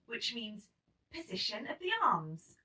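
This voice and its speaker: Woman voicing a bright, encouraging ballet teacher. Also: a little high, very animated, measured pace, recorded from a distance, a little hiss or hum, exaggerated voice. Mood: explaining. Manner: clear, instructive, emphatic. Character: kind, encouraging female ballet teacher explaining steps.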